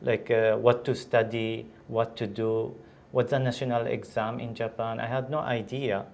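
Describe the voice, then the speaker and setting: A man's voice: medium pitch, flat delivery, moderate pace, creaky, darkish tone. A man from Syria, a physician, answering interview questions in English with many fillers and restarts. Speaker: guest, physician.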